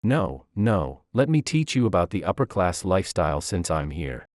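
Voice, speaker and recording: An arrogant grown bully from an audio story, voiced by a male narrator, boastful and mocking. The voice is low and swings freely in pitch, recorded very dry. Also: big pitch swings, normal speed, a little mellow, no room sound. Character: arrogant adult male bully, sneering banker's son.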